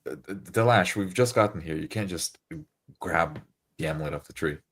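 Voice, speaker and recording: A man playing an earnest, devout adventurer in a tabletop game, speaking politely and haltingly with a solemn air. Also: low-pitched, a bit bright, husky. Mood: concerned. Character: earnest, devout male adventurer reading omens.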